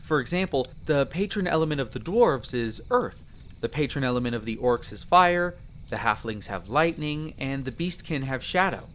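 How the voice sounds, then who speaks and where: A man's voice: medium pitch, animated, normal speed, fairly bright tone, a little hiss or hum, natural voice. A man playing a friendly, chatty elf explorer in a roleplay audio drama, explaining things in an easygoing, conversational way to the listener. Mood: patient, explanatory. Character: friendly chatty male elf explorer, eager magic mentor.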